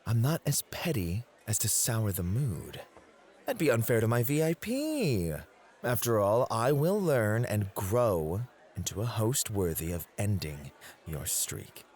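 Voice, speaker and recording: A man's voice: fairly low pitch, big pitch swings, a little slow, a little mellow, husky, heavily acted. A man playing a devoted, possessive and competitive club host in a romantic ASMR roleplay, polite and affectionate. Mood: confident, possessive.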